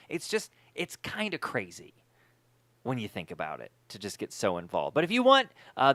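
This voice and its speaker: Male podcast host, lively swooping intonation, wry conversational delivery, dry recording. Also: mid-range voice, no echo. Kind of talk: casual chat and interview.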